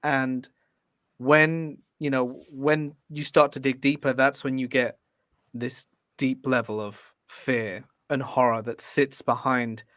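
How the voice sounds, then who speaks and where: Brief: male podcast guest, average pitch, measured arguer, dry close recording. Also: fairly bright tone, no room sound. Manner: measured, building an argument. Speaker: debate guest, podcast host. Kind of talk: casual podcast debate.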